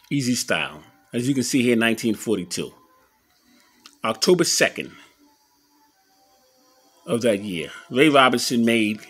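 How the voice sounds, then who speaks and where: A man giving a casual show-and-tell commentary as an enthusiastic collector, halting a little as he reads old clippings aloud. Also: medium pitch, fairly bright tone, slightly rough. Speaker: boxing memorabilia collector showing his scrapbooks on video.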